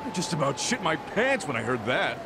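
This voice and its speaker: An actor playing a blunt, nagging, loyal gangland younger brother in a dramatic video game cutscene. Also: male voice, on the high side, big pitch swings, fairly quick, very noisy. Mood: exasperated.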